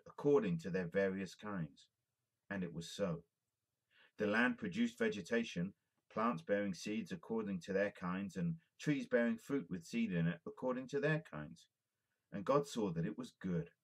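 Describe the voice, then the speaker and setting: A man giving a solemn, measured reading of scripture with emphatic phrasing. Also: on the low side, slightly rough. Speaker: amateur Bible reader.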